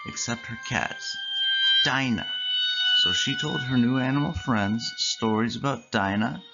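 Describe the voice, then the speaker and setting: Read-aloud narrator for children: measured, deliberate phrasing, mid-pitched, clear music bed, lo-fi sound. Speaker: storyteller. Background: clear background music.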